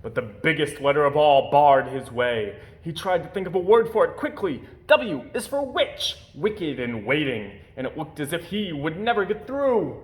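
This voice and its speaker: A man reading a children's picture book aloud as an expressive storyteller, putting theatrical emphasis on key words. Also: on the high side, normal speed, few pauses.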